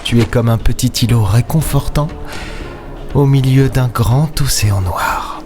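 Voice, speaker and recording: A man acts out a weary, sardonic starship captain, the last of his kind, in a science fiction audio drama. His medium-pitched voice is measured and wry, like someone telling his own story. Music runs behind him. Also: natural voice. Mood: wry, bitter. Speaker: lead character and narrator. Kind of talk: audio drama acting and first-person narration.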